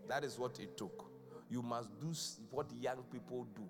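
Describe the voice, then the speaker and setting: A man's voice: medium pitch, moderate pace. A man, a bishop preaching a live church sermon in a chatty, questioning way. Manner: conversational preaching, quizzing the congregation. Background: keyboard music under the voice.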